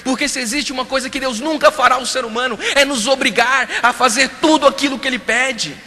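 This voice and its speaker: A man preaching as a pastor, shifting between forceful appeals and hushed storytelling. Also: very high voice, a little fast. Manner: preaching with rising and falling intensity, drops to hushed storytelling. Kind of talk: sermon. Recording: reverberant hall, faint ambience.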